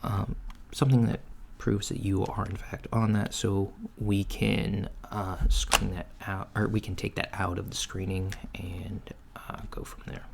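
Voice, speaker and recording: A man playing a mild, matter-of-fact alien floor manager in a soft-spoken, hesitant ASMR job interview roleplay. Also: flat delivery, a little slow, creaky, few pauses. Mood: procedural. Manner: hesitant, low-key, procedural, murmured acknowledgements. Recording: lo-fi with faint background noise. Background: computer keyboard typing and clicks.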